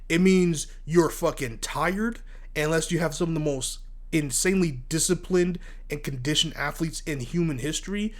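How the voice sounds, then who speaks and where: A man hosting casual solo sports talk, congested from asthma and allergies, blunt and animated, stumbling and repeating words as he gets worked up. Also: fairly high pitch. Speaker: sports commentary host.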